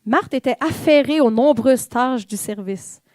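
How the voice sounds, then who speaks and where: A woman's voice: high voice, unhurried, a little mellow, no room sound. A woman with a Québécois French accent giving an informal, conversational sermon in church, with fillers and the odd whispered aside. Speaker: church speaker.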